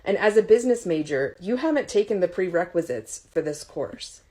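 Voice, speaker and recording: A woman's voice: fairly low pitch, animated, normal speed, warm tone. A woman voicing a stern, exasperated female college professor with a firm, controlled manner in an audiobook. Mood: strained patience.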